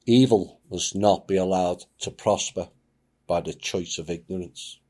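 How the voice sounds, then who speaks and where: A man hosting his channel, reading a submitted account aloud with a grave, measured delivery. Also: low voice, warm tone. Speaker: channel host.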